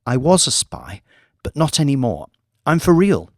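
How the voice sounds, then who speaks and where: A man's voice: medium pitch, sing-song, normal speed, no room sound, studio quality, pitched above the performer's own voice. A man voicing an earnest, secretive young woman in an audiobook, speaking in a confiding manner. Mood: earnest, pleading.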